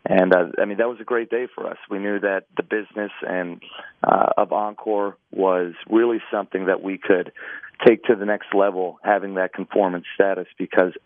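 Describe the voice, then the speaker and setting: A hoarse man with a raspy voice, a golf company co-founder talking as an interview guest, hesitant with many restarts. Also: deep voice, fairly even intonation, normal speed, no room sound. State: hoarse after three days of talking. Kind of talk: interview over a phone line. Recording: tinny dry phone line.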